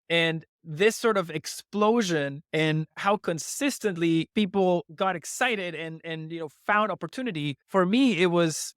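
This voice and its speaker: A man's voice: a little high, fairly bright tone, no echo, studio quality. A man from Venezuela, a podcast guest telling his story earnestly in casual interview talk, with frequent restarts. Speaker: podcast guest, crypto company co-founder.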